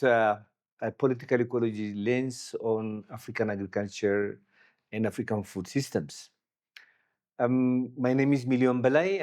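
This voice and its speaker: A man's voice: medium pitch, darkish tone. A man hosting a podcast interview, encouraging his guest with frequent murmurs of agreement. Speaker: podcast host.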